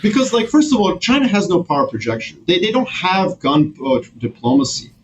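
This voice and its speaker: A man from Serbia, a guest on a podcast, talking in an animated, slangy way with many restarts. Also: high-pitched, a little fast, highs cut off, a little hiss or hum. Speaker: guest strategist. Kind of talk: casual podcast conversation.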